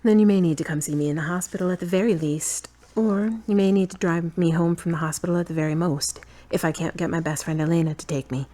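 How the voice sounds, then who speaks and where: A woman plays a fond but uneasy girlfriend in an audio drama. Her voice sits a little low. She talks earnestly and frankly, often questioning, with a tired edge. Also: steady tone, quick pace, few pauses, mellow, close to an everyday voice. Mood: matter-of-fact, uneasy.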